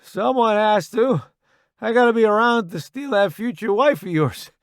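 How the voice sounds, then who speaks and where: A man's voice: high voice, normal speed, clean tone, no room sound, clean studio sound, voice pushed up. A man voicing a wounded young soldier in an audiobook, strained and blunt, talking through pain. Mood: teasing. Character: wounded young male soldier, pained, cursing, loyal joker.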